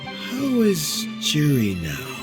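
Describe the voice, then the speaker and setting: A man's voice: a little high, wildly swooping pitch, drawn-out words, heavily acted. An actor playing a dignified, weary elderly statesman in a video game, speaking in a solemn, reflective, formal manner. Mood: inquiring. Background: game music.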